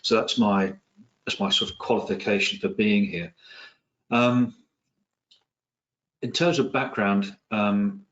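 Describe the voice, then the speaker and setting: A middle-aged man from England, his accent softened by years in New Zealand, giving an online talk as a psychiatrist, with many ums, restarts and dry asides. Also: fairly low pitch, slow, long pauses, lo-fi sound. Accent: English, with some New Zealand influence. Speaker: psychiatrist and presenter. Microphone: video call.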